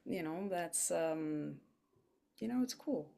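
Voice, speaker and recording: Woman, raised in Switzerland, native Farsi speaker, interview guest, lively, joking. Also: deep voice, takes it slowly, creaky. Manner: animated, laughing, self-deprecating asides. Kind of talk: casual interview chat.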